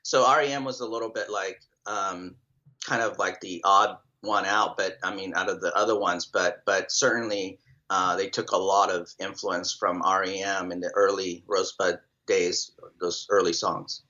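Rambling middle-aged man, low voice. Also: steady tone, normal speed, bright, forceful tone, highs cut off. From El Salvador. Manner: rambling, many fillers and restarts. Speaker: drummer, interview guest. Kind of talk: casual interview answers.

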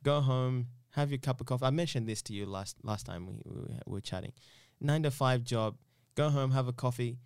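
A man's voice: mid-range voice, brisk, few pauses, a little mellow, clear, resonant tone, no room sound, clean studio sound. A man from Uganda chatting casually as an interview guest, rambling and repeating himself with lots of "you know".

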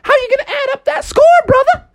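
A woman's voice: very high-pitched, steady tone, quick pace, vocal fry, no echo, voice pushed up. A woman voicing a peppy, overbearing mother frog in a storybook, emphatic and sing-song, full of encouragement. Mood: insistent. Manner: sing-song, emphatic, pep-talk repetition.

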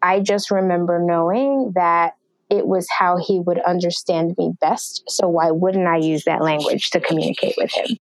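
Articulate woman guest, low dry voice. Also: steady tone, moderate pace, no echo, poor audio. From New York, USA. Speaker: podcast guest, marketing executive. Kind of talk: interview answers.

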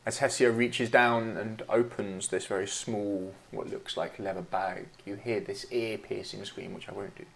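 A man running a tabletop game as game master, laughing often and dropping to a slow, hushed delivery for dark narration. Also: on the low side, fairly even intonation, creaky.

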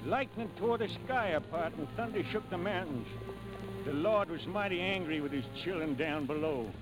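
A man's voice: a little high, low-quality recording. A man giving dramatic, rhythmic narration like a spoken folk ballad.

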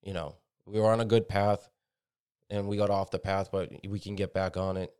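Low-voiced agreeable American man. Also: level tone, normal speed, darkish tone, clean tone. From United States. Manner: agreeable, frequent short agreements, swears. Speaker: podcast co-host. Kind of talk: casual opinion chat.

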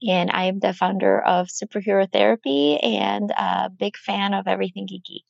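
A woman with a gravelly, slightly nasal voice from a cold explains things earnestly and at a measured pace. Her voice comes through a dull, muffled remote microphone with a dry, close sound. She is a clinical psychologist answering interview questions on a podcast. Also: mid-range voice, steady tone, no room sound.

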